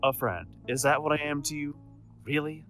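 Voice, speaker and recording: A man playing a proud, defiant supervillain with a soft side in an audio drama, speaking emphatically and earnestly. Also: fairly high pitch, normal speed, faint music behind, natural voice. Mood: indignant, hurt.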